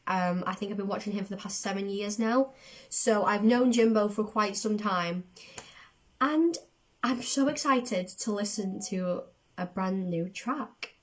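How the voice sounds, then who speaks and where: A woman chatting casually as the host of a reaction video, excitable, her voice going a little from a cold. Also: mid-range voice, unhurried. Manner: excitable, self-described overdramatic. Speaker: host of a music reaction channel.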